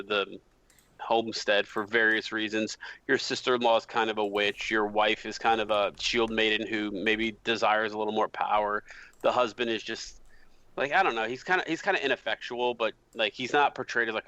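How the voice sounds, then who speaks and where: A man's voice: on the low side, pushed and bright, no room sound. An American man chatting casually as a podcast panelist, rambling with lots of fillers. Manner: loose and rambling, heavy use of "like". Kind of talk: casual chat. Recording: slightly muffled dry mic.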